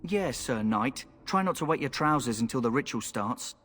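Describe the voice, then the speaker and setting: A cocky, sardonic rogue. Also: male voice, mid-range voice, animated, normal speed, fairly bright tone, faint music behind. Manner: mocking, sardonic. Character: cocky male rogue recruit. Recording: game audio with long reverb.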